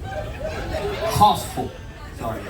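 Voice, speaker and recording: A man whose first language is Portuguese, bluffing a definition in a flustered, halting way on a stage game show, laughing at himself as he goes. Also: high-pitched, wildly swooping pitch, noisy background. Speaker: cruise staff contestant. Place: large ship theater with live audience. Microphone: handheld mic held by someone else. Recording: echoey theater picked up from far off. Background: audience laughter and chatter.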